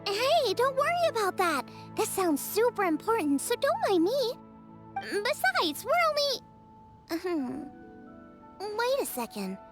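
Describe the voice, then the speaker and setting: A woman's voice: extremely high pitch, big pitch swings, unhurried, music in the background, full character voice. A woman voicing a bubbly, eager young girl cook in a video game. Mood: excited. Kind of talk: scripted video game voice acting.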